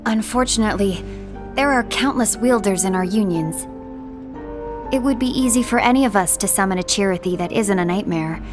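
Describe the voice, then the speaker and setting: An actress playing a composed, diplomatic young woman in a fantasy game, speaking formally and with measured politeness. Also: mid-range voice, over music, close to an everyday voice. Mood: measured concern. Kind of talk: scripted game cinematic acting.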